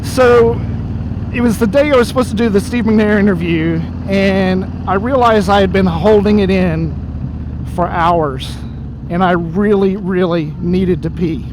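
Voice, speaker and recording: High-voiced man rambling on a motorbike. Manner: rambling, self-deprecating, easily startled by dogs. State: losing his voice. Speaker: vlogger telling a story. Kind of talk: casual storytelling while riding a motorcycle. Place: outdoors on a motorcycle on the road. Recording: road and engine noise.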